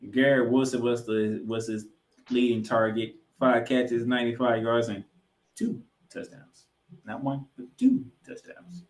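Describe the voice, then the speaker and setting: A man's voice: medium pitch, flat delivery, measured pace, a little mellow. A man co-hosting a sports podcast, giving football recaps with emphatic, announcer-like delivery of scores and stats mixed with casual banter.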